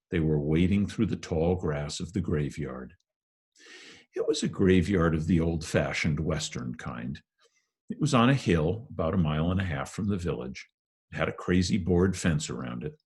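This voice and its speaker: A very low-pitched man reads classic fiction aloud. His voice is strongly husky and rough, and he tells the story in a measured, expressive way. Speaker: reader. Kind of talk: audiobook-style narration of a classic novel.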